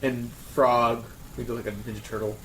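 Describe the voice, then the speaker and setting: A man's voice: medium pitch, level tone, moderate pace, fairly bright tone, echoey room, slight background noise, low-quality recording. A man in a casual group chat, giving his opinions with enthusiasm and loose, sweary phrasing. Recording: clear crowd chatter behind.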